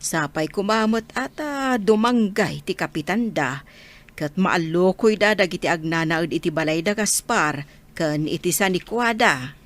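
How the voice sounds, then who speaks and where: An actress with a northern Philippine accent playing a caring, practical middle-aged village aunt in a radio drama. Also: female voice, lowish voice, expressive, unhurried. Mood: hopeful.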